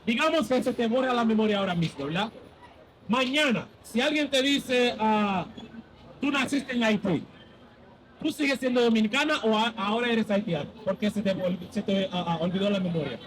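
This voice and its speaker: A man's voice: high-pitched, moderate pace, low-quality recording. A man leading a street Bible debate as a preacher, insistently quizzing and cutting in with questions.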